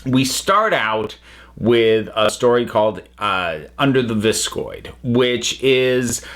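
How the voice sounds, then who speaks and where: A man's voice: medium pitch, lively intonation, takes it slowly, fairly bright tone. A man reviewing audio dramas in a casual, conversational way, thinking aloud and interrupting himself as he goes. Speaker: reviewer, channel host.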